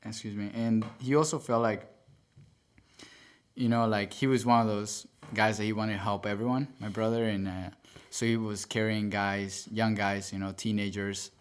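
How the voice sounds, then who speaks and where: A young man from El Salvador speaks as a podcast guest in a lowish voice. He tells his story earnestly and casually, and he stops often to restart and correct himself. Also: little pitch movement, normal speed, smooth. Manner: earnest, with many fillers and self-corrections.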